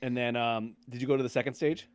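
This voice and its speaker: Male podcast host, mid pitch, casual game talk, loose rambling explanations. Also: fairly even intonation, fast talker.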